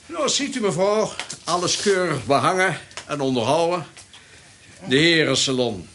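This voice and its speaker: An actor playing a courteous, deferential house agent in a period radio drama, speaking politely and formally. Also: male voice, fairly high pitch, animated, unhurried, a bit bright, long reverb tail. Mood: obliging. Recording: noisy old radio drama recording.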